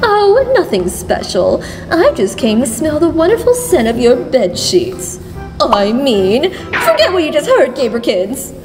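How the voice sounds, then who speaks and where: A woman's voice: sits high, huge pitch swings, a little slow, full character voice. A woman voicing a flamboyant, obsessive, shameless rich woman in a theatrical cartoon comic dub. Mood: gushing. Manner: gushing, theatrical. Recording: long reverb, music behind.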